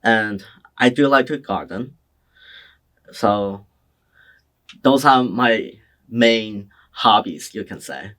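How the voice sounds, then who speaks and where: Slow, hesitant young man. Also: fairly low pitch. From China. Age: young adult. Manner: slow, hesitant, soft-spoken. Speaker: interviewee, community college student. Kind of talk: oral history interview answers.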